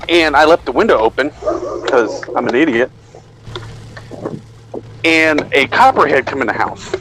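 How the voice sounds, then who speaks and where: A man's voice: mid-range voice, lively intonation, unhurried, noisy background. A middle-aged man telling a rambling, self-deprecating anecdote in a casual way, acting out bits of dialogue. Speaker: storyteller.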